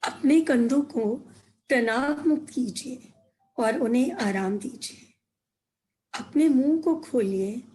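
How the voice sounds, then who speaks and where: A woman leads a guided meditation in a fairly high voice. She speaks very slowly, setting out each gentle instruction in short phrases. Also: a little hiss or hum, rough recording.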